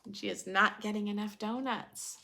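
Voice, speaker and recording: A woman reading a children's storybook aloud in a dramatic, playful teacher's way, pausing to put questions to the listener. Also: mid-range voice, steady tone, creaky, pushed and bright. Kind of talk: expressive storybook read-aloud with teaching asides.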